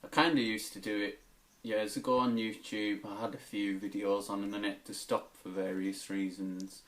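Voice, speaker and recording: A British man with a slightly low voice chats to camera. He is casual, tentative and rambling. He records on a tablet's built-in microphone as a YouTuber. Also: little pitch movement, moderate pace, a bit bright.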